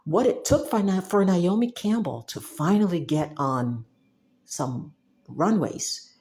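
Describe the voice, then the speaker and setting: A woman's voice: lowish voice, expressive, slow, dark tone. A young woman from Cameroon, talking casually and emphatically to the camera, with restarts and frequent laughs. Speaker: software developer talking to camera. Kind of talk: casual monologue.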